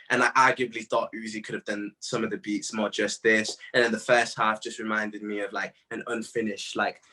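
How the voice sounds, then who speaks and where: A man in his twenties with a husky voice, a guest artist chatting casually and telling stories in animated slang. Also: medium pitch. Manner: animated slangy storytelling, quoting own reactions. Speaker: interview guest, music artist. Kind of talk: casual chat.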